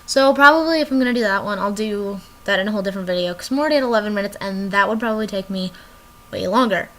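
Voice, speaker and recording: A woman's voice: medium pitch, rapid-fire, a bit bright, a little hiss or hum. A young woman vlogging casually from her bedroom, rambling and drifting off topic as she chats to the camera. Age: young adult.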